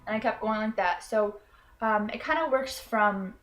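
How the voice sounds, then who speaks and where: A woman's voice: mid-range voice, moderate pace, fairly bright tone, faint music behind. A young woman from Florida, a college student, earnestly explaining something in a hesitant way, with frequent ums and restarts. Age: young adult. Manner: earnest and self-conscious. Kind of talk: personal explanatory video.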